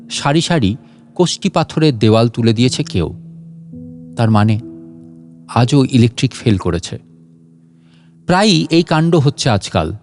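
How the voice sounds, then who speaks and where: Male story narrator, dramatic scripted read, expressive intonation, light music underneath. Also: mid-range voice.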